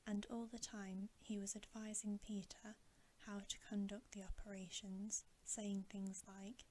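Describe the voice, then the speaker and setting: Whispering woman reading a slow bedtime story. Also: medium pitch, flat delivery. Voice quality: whispered, breathy. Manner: slow, gentle, hushed delivery with long pauses. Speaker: ASMR reader, storyteller.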